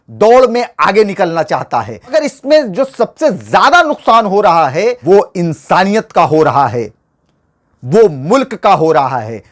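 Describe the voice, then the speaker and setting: A man hosting a political commentary show, speaking to viewers in an earnest, rhetorical and formal oratorical way. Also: high-pitched. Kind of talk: scripted news commentary addressed to viewers.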